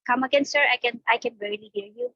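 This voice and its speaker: A woman's voice: high voice, very animated, fast, vocal fry, no room sound. A woman from the Philippines, an accountant in graduate school, speaking politely and hesitantly. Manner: polite, hesitant, many fillers. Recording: dry, dull call audio.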